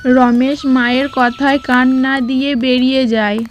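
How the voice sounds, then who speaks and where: Female narrator for children's cartoon tale, high pitch, measured slow read, light music bed. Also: level tone, lo-fi sound. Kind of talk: scripted storybook narration for a cartoon. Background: background music.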